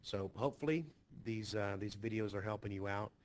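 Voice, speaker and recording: A man's voice: on the low side, fairly quick, a little mellow. A man speaking as a teacher, giving an informal, conversational and reassuring introduction to a lesson. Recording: noticeably hissy home screen recording.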